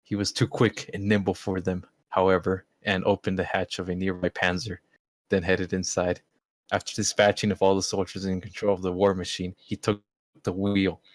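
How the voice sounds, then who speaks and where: A deep-voiced man reads a story in a casual, amateur style. He is amused and trips over words now and then, recorded dry with little room around him. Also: level tone, moderate pace, no room sound. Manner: informal, amused, stumbling. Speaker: amateur reader. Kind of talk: casual read-aloud of a story.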